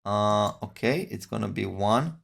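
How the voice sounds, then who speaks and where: A man presenting a software tutorial as an informal, step-by-step screen-share walkthrough, explaining as he goes. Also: fairly low pitch, a little slow, creaky. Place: voice-over for a screen recording.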